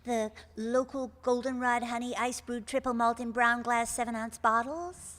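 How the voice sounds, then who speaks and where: A woman's voice: fairly high pitch, steady tone, normal speed, fairly bright tone, close to an everyday voice. A woman playing a sweet, patient, coddling mother with a soothing manner in a live radio comedy sketch. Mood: placating. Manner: soothing, doting. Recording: reverberant hall stage recording.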